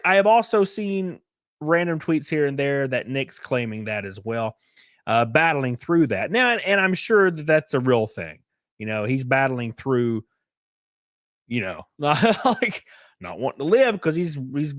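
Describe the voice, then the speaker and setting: Sniffly, lively-voiced man chatting. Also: a little high, a little slow, dry recording, clean studio sound. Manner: rambling, lots of fillers and restarts. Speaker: commentary streamer. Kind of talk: casual commentary reading chat.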